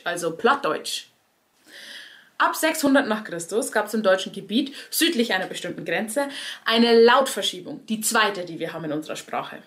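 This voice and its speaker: Higher-voiced Bavarian woman, wry explainer. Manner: wry, direct address to the audience. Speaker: cabaret performer and Germanist. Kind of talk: conversational explainer monologue.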